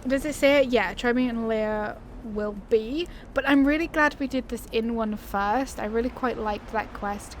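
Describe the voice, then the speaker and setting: Chatty, higher-pitched woman gamer. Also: a little hiss or hum. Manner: chatty, informal, playful. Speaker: gaming video host. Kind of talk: casual let's play commentary. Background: video game ambience.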